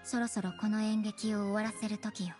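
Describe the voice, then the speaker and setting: A woman voicing a composed young magician's assistant in an anime game, with a deadpan, measured and quietly pointed delivery. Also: mid-range voice, lightly acted. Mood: solemn. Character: cool, composed young cat-eared magician's assistant girl. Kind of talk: Japanese anime game voice acting. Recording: faint music behind the voice.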